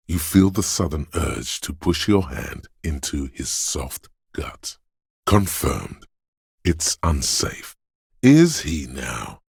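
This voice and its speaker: A very deep, gravelly, menacing inner voice. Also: male voice, no room sound, clean studio sound, heavily acted. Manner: brooding, deliberate, ominous. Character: menacing primal inner voice of danger and aggression, male narrator. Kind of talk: dramatic second-person game narration.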